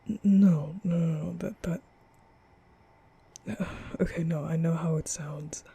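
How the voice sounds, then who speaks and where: Male actor as a apologetic young vampire for ASMR roleplay; slow, even pitch, hushed and breathy, rambling nervously. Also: exaggerated voice. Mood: flustered, defensive. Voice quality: breathy, often half-whispered. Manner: halting, stammering, rambling, sighing. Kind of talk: intimate ASMR roleplay monologue.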